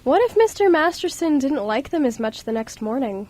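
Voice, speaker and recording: Woman narrator, highish voice, reading a scripted story for a homemade radio drama. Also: expressive, normal speed, a little mellow.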